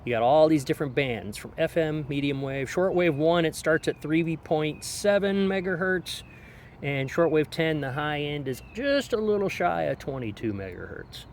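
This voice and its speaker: A man's voice: on the high side, expressive, moderate pace. A man giving a casual, explanatory hands-on product review in an informal way, speaking outdoors on a deck. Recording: outdoor background noise.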